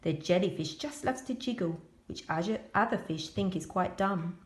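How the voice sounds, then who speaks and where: A woman reading a children's picture book aloud as a school storyteller, expressive and addressing young children. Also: lowish voice, normal speed, roomy sound.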